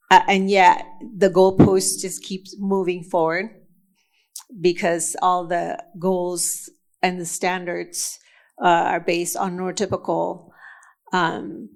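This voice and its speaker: Slow, deliberate woman from Indonesia speaking. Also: lowish voice. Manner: slow and deliberate with frequent fillers and restarts. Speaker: conference speaker. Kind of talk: conference talk. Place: conference session room.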